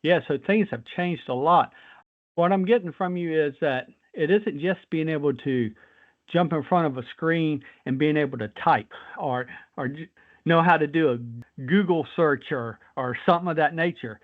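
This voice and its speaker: A man's voice: fairly high pitch, normal speed. A man hosting a casual interview in a folksy, rambling conversational way over a tinny phone-like line. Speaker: guest host, former patrol officer.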